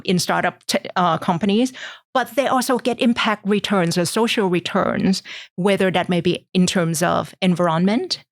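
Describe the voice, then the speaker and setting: A middle-aged woman from Thailand, explaining things in measured podcast answers with frequent ums. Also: mid-range voice, gravelly, dry recording, clean studio sound. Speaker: guest investor.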